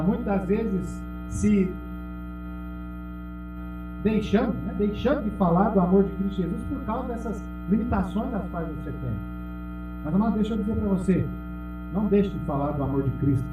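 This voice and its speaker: Brazilian male pastor, emphatic sermon delivery, distant mic in a very echoey hall, music underneath. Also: phone-quality sound. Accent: Brazilian Portuguese. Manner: emphatic preaching, calls out to the congregation, drops low and loud on key words. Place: church hall. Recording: echoey, from far off, music behind.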